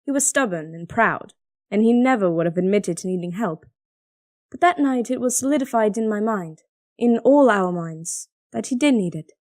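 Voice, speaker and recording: An actress playing a devoted, strong-willed young princess in an audio drama, speaking and narrating in a measured, earnest, solemn way. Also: female voice, medium pitch, expressive, a little mellow, no echo, studio quality, lightly acted. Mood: solemn, reflective. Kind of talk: audio drama acting with first-person narration.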